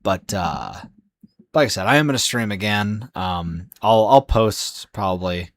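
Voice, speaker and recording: Casting: young adult male streamer, hoarse and sleepy, very low voice, dry close sound, rambling delivery. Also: animated, a little slow, no room sound. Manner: rambling, many fillers and restarts. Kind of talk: casual live-stream chat.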